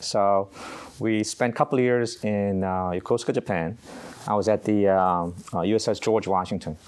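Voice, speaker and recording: A middle-aged man from Korea, a Navy chaplain answering interview questions in an unhurried way, often pausing on uh. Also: lowish voice, fairly even intonation, darkish tone, clear, resonant tone. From South Korea. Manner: unhurried, halting with frequent uh pauses.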